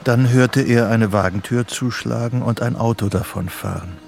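An actor as the narrator of a psychological thriller, reading scripted radio drama narration. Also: male voice, medium pitch, normal speed, gravelly, darkish tone, slight background noise, natural voice. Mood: measured, tense.

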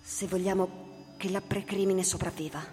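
A woman's voice: medium pitch, steady tone, normal speed, warm tone, close to an everyday voice. An actress playing a tense, resolute woman who argues earnestly in a radio thriller. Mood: urgent, reproachful. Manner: earnest, dramatic. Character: tense, resolute woman pleading for loyalty to the system. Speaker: actor. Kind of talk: theatrical radio drama dialogue. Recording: hall reverb with music underneath. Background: music.

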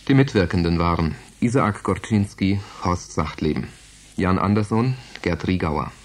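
A man's voice: deep voice, normal speed. A man reading the closing credits of a radio play as a scripted announcement.

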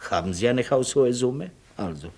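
A man's voice: medium pitch, lively intonation, normal speed, crowd noise, natural voice. Cheeky, grumbling manservant played by a man. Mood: sardonic, grumbling. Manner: sardonic, mock-deferential. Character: cheeky, grumbling, wheedling servant of a Polish colonel. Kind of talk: comic radio play acting.

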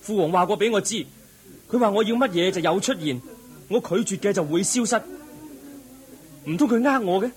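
A man's voice: high voice, natural voice. A man acting an earnest, troubled young prince in a Cantonese radio drama, full of wondering questions. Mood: troubled, questioning. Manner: inquisitive, wondering, drawn-out questioning.

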